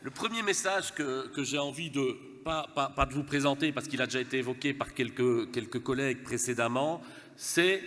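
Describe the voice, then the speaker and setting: A man's voice: mid-range voice, fairly bright tone, big-room sound. A man from Verviers with a Belgian French accent, nasal from a cold, giving a formal conference speech with light humour and frequent stammered restarts. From Verviers, eastern Wallonia, Belgium. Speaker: speaker from a gas and electricity network company.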